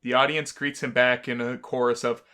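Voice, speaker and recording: A man narrating a visual novel aloud as a gaming host, with expressive, theatrical storytelling. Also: medium pitch, moderate pace.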